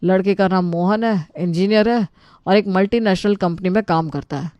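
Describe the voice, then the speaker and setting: A woman's voice: medium pitch, normal speed, dark tone, dry recording, muffled recording, lightly acted. A woman voicing an anxious, deferential relative in a Hindi story, with a polite, pleading manner. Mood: eager, respectful. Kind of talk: Hindi storybook character acting.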